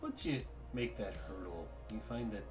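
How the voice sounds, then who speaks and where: A man narrating as game master for a tabletop roleplaying game, relaxed and laughing often. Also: lowish voice, normal speed, music in the background.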